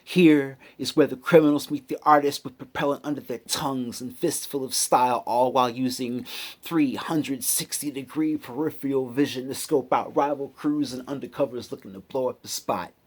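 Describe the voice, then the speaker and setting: A man performing spoken word poetry with a hip-hop cadence, rhythmic and emphatic, pausing deliberately between phrases. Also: mid-range voice, fairly even intonation, a little mellow. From United States city.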